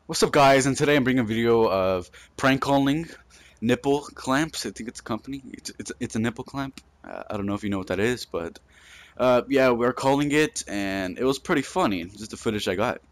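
A man from the United States doing informal, halting video commentary as a gaming channel host who makes prank calls. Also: medium pitch.

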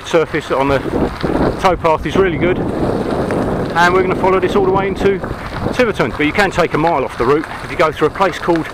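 A man's voice: on the high side, fairly quick. A man in his forties from England, chatting to an action camera while riding along country roads and a canal towpath. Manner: chatty, upbeat, rambling asides. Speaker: cycle touring vlogger. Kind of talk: casual talk to camera while cycling. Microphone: action camera on the bike or held at arm's length. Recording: outdoor action-cam audio with wind noise.

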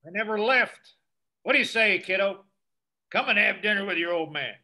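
A man's voice: high voice, animated, moderate pace, bright, forceful tone, exaggerated voice. An older man playing a manipulative estranged father in a table read, coaxing and casually evasive. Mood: wheedling. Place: indoors, on a video call.

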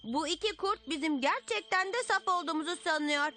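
Feisty young rabbit for a cartoon: very high, plucky, bold. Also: female voice, fairly quick, pushed and bright, a little hiss or hum. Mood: indignant. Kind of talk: children's cartoon dub.

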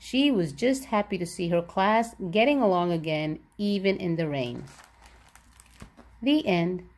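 A woman's voice: lowish voice, lively intonation, unhurried, darkish tone. A woman reading a children's picture book aloud, expressively and with careful enunciation for young listeners. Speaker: read-aloud storybook narrator.

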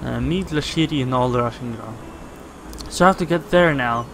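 A man's voice: medium pitch, normal speed, vocal fry, a little hiss or hum. A man casually commenting on gameplay, thinking aloud with many fillers. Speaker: gaming channel host. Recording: voice over loud game music. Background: game music.

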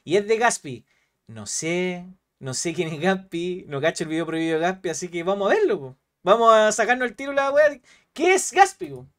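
A man with a Chilean accent, reacting casually to a video with slangy, excitable talk and a readiness to laugh. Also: high-pitched, brisk. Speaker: reaction streamer.

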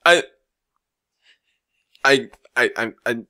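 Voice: medium pitch, extremely slow, bright, forceful tone. A giggly person doing a mocking, dramatic comedic reading of text from the internet. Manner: giggly, often breaking up while reading. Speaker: online video maker reading a text aloud. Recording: music behind the voice. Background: music.

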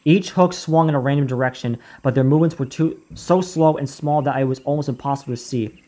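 A man reading a horror story aloud in a slow, deliberate way, now and then stumbling over a word and restarting it. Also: mid-range voice, warm tone. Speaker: narrator. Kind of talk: creepypasta reading.

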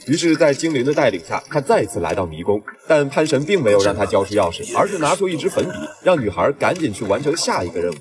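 A man's voice: mid-range voice, sing-song, fast talker, hardly any pauses. A man narrating a film recap in a scripted storytelling style, as the regular narrator.

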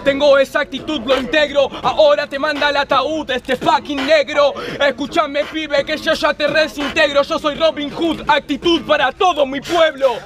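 A man's voice: very high voice, moderate pace, hardly any pauses. A young man from Argentina with a Rioplatense accent, freestyle rapping in an outdoor battle. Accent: Rioplatense Spanish. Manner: rhythmic, steady rhyming delivery. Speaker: freestyle rapper. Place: outdoor city park crowd. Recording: loud crowd and beat music.

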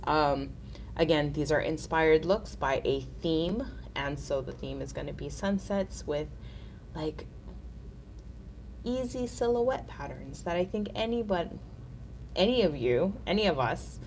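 A woman's voice: deep voice, a little mellow, slight background noise. A woman in her thirties hosting a casual live nail art tutorial, chatty and upbeat, sounding nasal from a cold.

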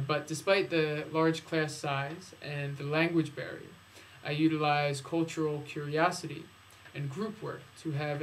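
A man with a slightly high voice speaks as an English teacher introducing his classes. His phrasing is formal and careful, and there is some noise behind him on the recording. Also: low-quality recording. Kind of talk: video introduction of own classes, prepared talk.

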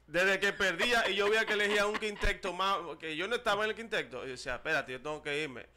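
A playful man with a bright, somewhat high voice, hosting a radio show from a studio desk mic. He spins boastful, slangy stories with a grin in his voice. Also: quick pace. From Dominican Republic. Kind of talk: joking studio banter. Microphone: desk microphone.

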